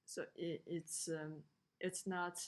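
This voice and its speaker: A woman from China with a Chinese accent giving a slide lecture, speaking haltingly with frequent hesitations and checking in with short tag questions. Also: on the low side, level tone. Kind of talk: slide lecture on design usability.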